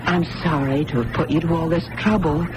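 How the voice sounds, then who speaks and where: An earnest young blind woman played by an actress in an old radio play. She describes things carefully and sincerely, on a clearly noisy vintage broadcast recording. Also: low voice, steady tone, a little fast, natural voice. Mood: earnest, wondering. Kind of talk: radio drama acting.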